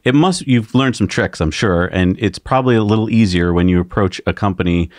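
A man's voice: fairly low pitch, fairly quick, no echo. A middle-aged man co-hosting an interview in a studio, leading into his questions with a long setup at a desk microphone. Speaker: podcast co-host. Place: studio with shelves, indoors. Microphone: desk mic on arm.

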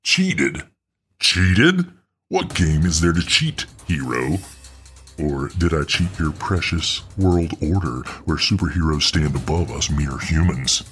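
Man acting a very deep-voiced, domineering muscle-bound supervillain. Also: very animated, fairly bright tone, slight background noise, full character voice. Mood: boastful, scornful. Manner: deliberate, taunting, theatrical monologuing. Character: huge muscle-bound male supervillain, dominant, contemptuous, righteous. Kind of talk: ASMR audio role-play acting.

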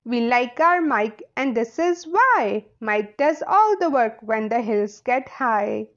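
A woman narrating a children's storybook aloud in a deliberate, sing-song way. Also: fairly high pitch, lively intonation. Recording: slightly dull, lo-fi audio.

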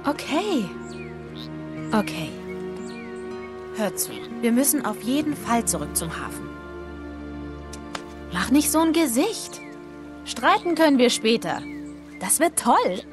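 Game casting: a plucky young explorer, curious and challenging, highly animated, over film music. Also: female voice, takes it slowly, long pauses, heavily acted. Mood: determined. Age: young adult. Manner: spirited, challenging. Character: plucky, determined young woman adventurer, curious and teasing. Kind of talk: German game dub, cinematic acting. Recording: loud film music behind.

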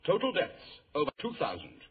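A man's voice: fairly high pitch, huge pitch swings, brisk. A man playing a brilliant, imperious consulting detective with a British accent and clipped, precise delivery in a radio drama. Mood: keen, clipped. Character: brisk, irritable, brilliant male consulting detective. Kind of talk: 1940s radio drama acting. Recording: tinny, hissy old radio recording.

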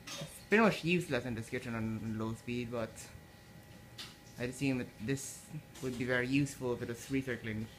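Halting man filming a ceiling fan. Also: mid-range voice, a little hiss or hum, rough recording. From Philippines. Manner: halting, repeats words and restarts often. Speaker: fan enthusiast vlogger. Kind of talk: casual filmed commentary. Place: indoors in a house kitchen. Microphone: handheld phone camera.

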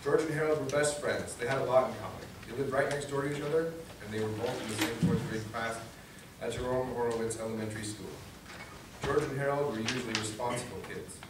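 A man's voice: mid-range voice, steady tone, fast. A young man reading a children's book aloud to an audience in a meeting room, picked up from a distance. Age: young adult. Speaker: guest reader, student government vice president. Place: medium indoor meeting room at a library. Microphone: distant camera facing a podium. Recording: echoey room from far off, noisy.

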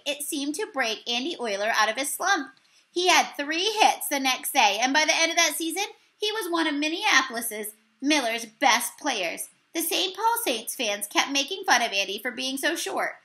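A young woman reading a picture book aloud expressively, as a teacher would, recorded on a computer mic in a room. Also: sits high. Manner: animated storyteller with exclaimed dialogue. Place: small indoor room.

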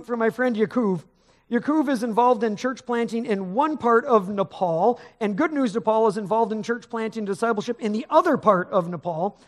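A middle-aged man, a pastor, preaching a sermon on stage in a large church sanctuary, in a conversational manner that rises with emphasis. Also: sits high. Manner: conversational preaching, rising with emphasis, gesturing while pacing the stage.

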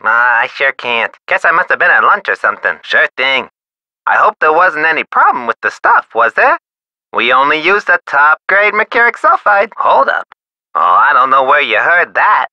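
A breezy male chemical supply clerk for a video game. The voice is highish, very sharp and animated, with glib, goofy cheer. It sounds dry and slightly dull, like a phone call. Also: normal speed, no room sound, exaggerated voice. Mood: evasive, casual. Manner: breezy, glib, exaggeratedly chipper.

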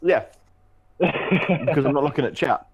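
A man's voice: a little high, moderate pace, husky, muffled recording. A man chatting casually with friends over a voice call during a game stream, giving dry, brief replies.

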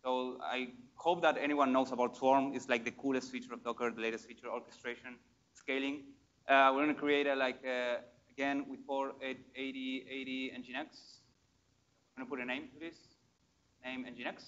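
A man's voice: mid-range voice, little pitch movement, moderate pace, fairly bright tone, lo-fi sound. A man giving a casual, enthusiastic live demo at a tech meetup, with many fillers and restarts. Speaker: conference speaker.

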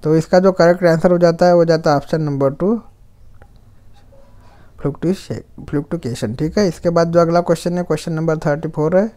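A man's voice: on the high side, warm tone. A young man with an Indian accent, teaching exam prep by reading quiz questions and their options aloud in a voice-over. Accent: Indian English mixed with Hindi. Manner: slow deliberate reading of questions, faster when giving options.

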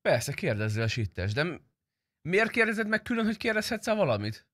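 A man's voice: sits high, very animated, a bit bright, dry recording. A young adult man chatting casually and slangily on a game livestream, close to the microphone. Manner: informal, slangy, swearing. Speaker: game streamer.

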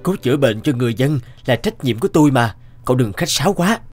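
A man's voice: mid-range voice, lively intonation, fast, natural voice. A man voicing a kind, principled village healer in a cartoon, speaking politely and earnestly. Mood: gentle, caring. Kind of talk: animated moral tale dubbing. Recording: music behind the dialogue.

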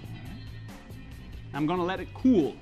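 A man's voice: high voice, sing-song, unhurried, dark tone, lo-fi sound, faint music behind. A middle-aged man hosting a casual, explanatory science demonstration in an indoor lab, speaking informally as he works. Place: indoor school chemistry lab.

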